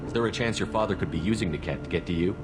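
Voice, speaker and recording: A man playing a blunt, low-voiced squad commander. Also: racing, natural voice. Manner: direct, questioning. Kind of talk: video game cutscene dialogue. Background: game score music.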